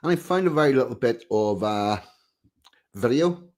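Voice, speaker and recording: A man's voice: medium pitch, lively intonation, moderate pace, a little mellow. A man hosting a casual live stream, chatting loosely with viewers and muttering under his breath. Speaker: streamer host.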